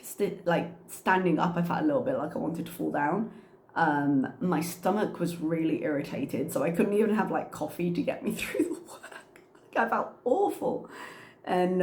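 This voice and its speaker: A woman's voice: fairly low pitch, a little mellow. Congested woman vlogging in an echoey room. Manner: chatty, meandering storytelling. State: congested, slight cough. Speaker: teacher and channel host. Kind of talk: casual vlog chat.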